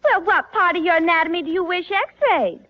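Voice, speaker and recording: A woman's voice: extremely high pitch, a little fast. A woman playing a polite, obliging receptionist nurse in a 1940s radio comedy, courteous and businesslike. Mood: brisk, polite. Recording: muffled old radio, faint audience.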